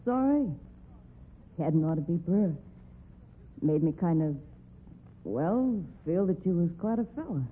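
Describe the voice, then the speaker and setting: A woman's voice: on the low side, animated, a little slow, pauses often, husky. A woman playing a plucky young cowgirl with an American accent and folksy, emotive talk, in old-time radio drama style. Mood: contrite, tender. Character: plucky, devoted young cowgirl with rough country grammar. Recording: noisy, tinny old radio recording.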